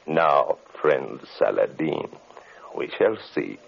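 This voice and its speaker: A power-mad radio villain, mocking and commanding. The deep, husky voice moves slowly and swoops theatrically in a dulled vintage broadcast. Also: male voice, lively intonation, slight background noise, exaggerated voice. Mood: gloating. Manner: theatrical, slow, taunting, commanding. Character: gloating, megalomaniac male villain, a mocking criminal mastermind. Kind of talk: 1940s radio horror acting. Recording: muffled old radio broadcast.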